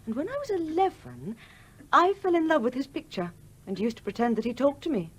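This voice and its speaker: An actress playing an outspoken, affectionate young daughter in a radio play. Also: female voice, sits high, sing-song, brisk, slightly rough, exaggerated voice. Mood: confiding, fond.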